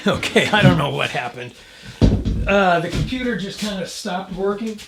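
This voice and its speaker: A man's voice: high voice, expressive, normal speed, creaky, very noisy. A man hosting a hobby vlog, chatting casually and thinking aloud as he explains things. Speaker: host of a radio hobby video.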